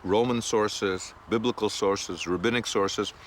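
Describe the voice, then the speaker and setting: A man's voice: lowish voice, steady tone, measured pace, slight background noise. A man hosting a TV show on location, joking and asking playful questions. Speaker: TV host. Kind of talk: on-location TV chat.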